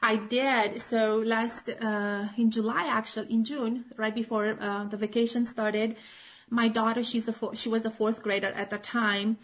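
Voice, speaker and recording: A woman's voice: fairly high pitch, little pitch movement, a little slow, low-quality recording. Chatty woman. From Romania. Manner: chatty, many fillers and restarts, laughs often. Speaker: children's author and teacher. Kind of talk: casual interview chat.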